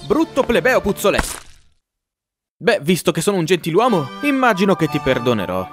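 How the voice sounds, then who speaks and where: A man's voice: sits high, very animated, normal speed, music in the background. A man voicing an earnest, overeager cartoon hero in a parody fan dub.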